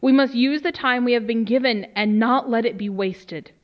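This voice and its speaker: A woman playing a grave research official, delivering a solemn, measured dramatic briefing. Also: fairly high pitch, muffled recording, natural voice. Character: grave female research official briefing on a doomsday alien threat. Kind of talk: dramatic scripted monologue.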